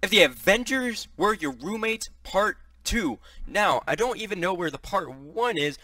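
A young man with a bright, fairly high voice that swings around a lot as he jokes and then takes it back. He talks into a headset mic in a small bedroom. The sound is dry and a little distant from the mic. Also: animated, a little slow, dry recording. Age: young adult. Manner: upbeat, joking then taking it back. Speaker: YouTube reaction host. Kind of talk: casual video intro.

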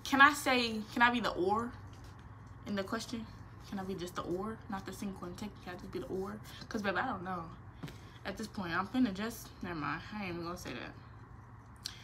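A woman's voice: mid-range voice, a little fast, noisy background. A nineteen-year-old woman, chatting casually and playfully in a Q&A vlog from her bedroom. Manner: animated, slangy, playful, expressive hand gestures. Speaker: vlogger. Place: small bedroom.

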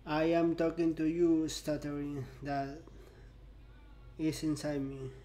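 A young man who stutters, speaking slowly and haltingly in a personal vlog, filmed by a webcam in a bright indoor room. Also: a little high, monotone. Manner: slow and halting, stuttering with long pauses. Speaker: vlogger.

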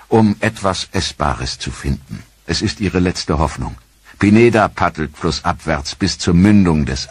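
A man narrating a scripted historical documentary in a measured, dramatic storytelling manner. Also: sits low, slightly rough, dry recording.

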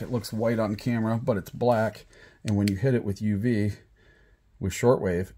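A man's voice: lowish voice, a little mellow. A man with an American accent giving a casual, plain-spoken product demonstration, instructing step by step. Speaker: product demonstrator and rock hunter. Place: small indoor room at a table. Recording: clearly audible background noise.

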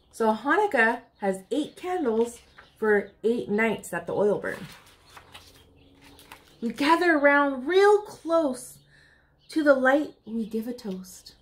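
An American woman in her thirties hosts a storytime for young children. Her voice sits a little high, and she reads aloud expressively, speaking directly to her young listeners. She is recorded indoors in a small room. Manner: addresses young listeners, expressive picture-book reading. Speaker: children's storytime host at a public library.

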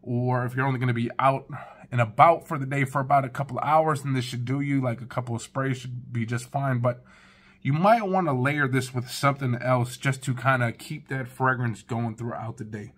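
A man's voice: medium pitch, a little fast, fairly bright tone. A man in his thirties giving a casual, chatty first-impressions review of fragrances from his living room, talking straight to his viewers. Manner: laid-back, chatty, talks straight to viewers.

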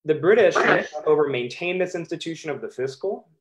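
A man in his thirties with an American accent, giving a measured, emphatic academic talk over a video call, with occasional ums. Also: a little high, lively intonation, a little hiss or hum. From United States. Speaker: historian presenting his book.

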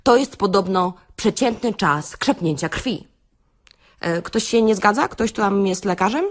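A woman's voice: medium pitch, expressive, measured pace. A woman playing a brash, sarcastic beautician in a satirical radio-play monologue, theatrical and full of rhetorical questions to her listeners. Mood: mock-lecturing. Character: brash, sarcastic beautician delivering a comic self-help rant. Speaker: actress reading a comic monologue.

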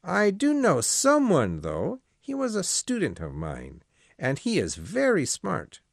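A man voicing a cautious older priest in an audiobook, earnest and raised in pitch. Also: huge pitch swings, slow pace, gravelly, no echo, studio quality, exaggerated voice.

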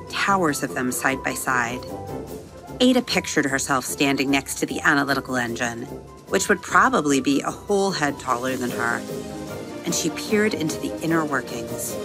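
Woman narrator for a kids' bedtime story: low voice, expressive reading that slows on key words, music bed underneath. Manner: expressive, slowing and softening on vivid words. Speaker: storybook narrator and episode host. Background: soft music under the reading.

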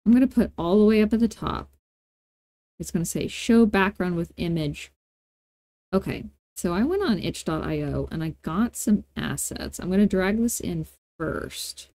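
Give this a woman narrating a casual screencast tutorial. Her voice is relaxed and warm, and the sound is dry and close. She talks informally, dropping playful asides as she explains each step. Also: fairly low pitch, moderate pace, no room sound. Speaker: tutorial host.

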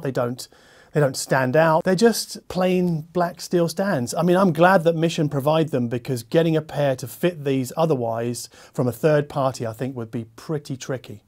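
A man in his forties with a slightly high voice, presenting a product review as the host. He talks casually and conversationally, leaning on key words and dropping in asides as he goes. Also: darkish tone. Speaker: host, audio equipment reviewer. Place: furnished living-room listening room, indoors.

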